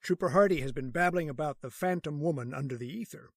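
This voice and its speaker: A man acting a calm, learned hospital doctor in a radio horror drama, speaking in a measured, authoritative way. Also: on the high side, gravelly, dry recording, clean studio sound. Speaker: voice actor.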